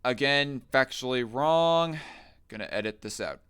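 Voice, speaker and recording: A man on a livestream who sounds bright and forceful, with a very clean, resonant voice. He argues and mocks in crude, casual commentary. He reads chat comments aloud as he goes. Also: mid-range voice, lively intonation, measured pace, clear, resonant tone. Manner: crude, mocking, argumentative. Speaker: livestream host.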